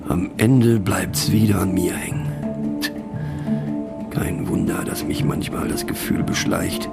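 An actor playing a wry, brooding divorced man who philosophizes aloud in a radio play, with a pensive, mocking, deliberate manner. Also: deep voice, fairly even intonation, gravelly, loud music behind the voice, full character voice. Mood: rueful, ironic. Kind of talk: radio play monologue, musing aloud to a listener.

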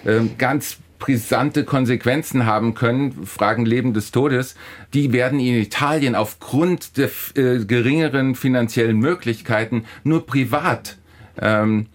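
A man's voice: medium pitch, a little slow. A man speaking as an academic guest in a discussion, explaining and arguing emphatically, often restarting and repeating phrases. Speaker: philosophy professor, guest.

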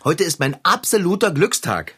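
A woman voicing a cheerful, easygoing male bicycle mechanic in a children's radio play, bouncy and quick to exclaim. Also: lowish voice, very animated, a bit bright, slightly rough, exaggerated voice. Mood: gleeful.

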